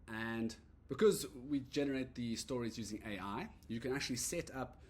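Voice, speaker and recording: A man giving a relaxed, rambling walkthrough of an app he built, full of "uh" and "so yeah" fillers. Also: mid-range voice, moderate pace, slightly rough. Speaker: app creator demoing his product. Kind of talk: casual screen-recorded product demo. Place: at a computer recording a screen demo.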